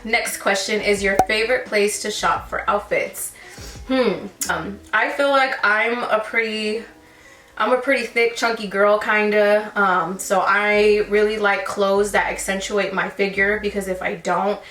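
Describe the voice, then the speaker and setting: A woman's voice: medium pitch, steady tone, a little slow, a bit bright, far from the mic, faint music behind. Chatty woman in her twenties, roomy echo. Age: 20s. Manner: chatty, calls viewers girl and sis. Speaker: lash technician answering viewer questions. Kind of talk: casual Q&A chat while sipping wine.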